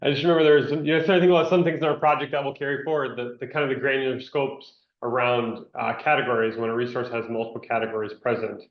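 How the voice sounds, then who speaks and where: A man's voice: medium pitch, fairly even intonation, quick pace, echoey room, muffled recording. A man moderating a webinar who asks a question loosely, with fillers like "you know".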